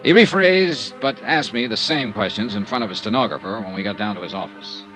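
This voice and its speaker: Man playing a hard-boiled American investigator, low voice, very animated intonation, sardonic, brooding, old radio drama. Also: a little fast, over music, close to an everyday voice, low-quality recording. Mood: brooding narration. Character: wry, hard-boiled male insurance investigator narrating his case. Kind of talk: 1950s radio drama acting and first-person narration.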